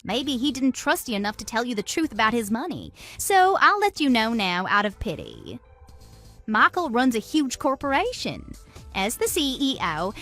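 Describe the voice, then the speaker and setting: A woman's voice: a little high, a bit bright, full character voice. A woman playing a greedy, haughty, easily shocked mistress in a scripted audio drama. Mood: smug, gloating. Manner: haughty, gloating, incredulous. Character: smug, greedy, gold-digging young woman, easily shocked.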